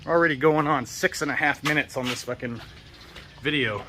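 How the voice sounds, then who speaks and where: A man's voice: medium pitch, brisk, bright, forceful tone, slight background noise. An American man talking in a rambling, casual walk-and-talk vlog, swearing often in slangy, easygoing speech. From United States. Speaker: vlogger.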